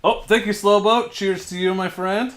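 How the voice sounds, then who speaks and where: A man's voice: sits high, fairly even intonation, moderate pace, a bit bright. A man in his forties hosting a casual livestream from his home garage, chatting and answering viewer comments as he reads them out on a desk microphone. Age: 40s. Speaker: livestream host.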